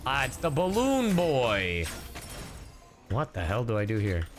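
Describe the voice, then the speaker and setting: A man talks casually with viewers while playing a game, joking and swearing freely. His pitch swings a lot, giving the chatter an animated feel. Faint game music sits behind him. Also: measured pace. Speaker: streamer. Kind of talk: casual livestream commentary.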